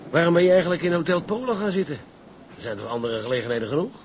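Actor as a higher-pitched, blunt seaman. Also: racing, slight background noise, close to an everyday voice. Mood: chatty, curious. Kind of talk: Dutch period radio drama acting.

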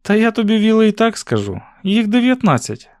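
Guy doing a curious young country girl, voice pitched way up, bit tart and guarded, storybook style. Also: very animated, a little fast, darkish tone, no room sound, clean studio sound. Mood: eager, inquisitive.

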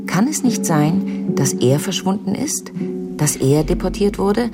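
A patient's great-niece in a radio play, with a very low female voice. She is inquisitive and thoughtful, feeling her way through questions, with music in the recording. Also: lively intonation, unhurried. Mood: probing, thoughtful. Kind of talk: radio drama dialogue.

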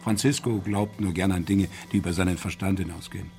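An actor playing a courteous, self-assured doctor who explains things in a genteel, deliberate way, in a radio crime drama. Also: male voice, low voice, steady tone, vocal fry, darkish tone. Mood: explaining.

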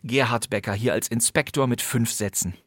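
A man's voice: medium pitch, moderate pace, a little hiss or hum. A man hosting a podcast, delivering scripted narration with conversational asides and dry humour. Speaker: podcast host. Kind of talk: scripted narration about old radio crime plays.

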